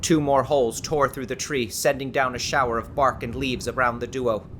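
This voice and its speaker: A man's voice: medium pitch, steady tone, moderate pace, noisy background. A man reading an audiobook as dramatic scripted narration.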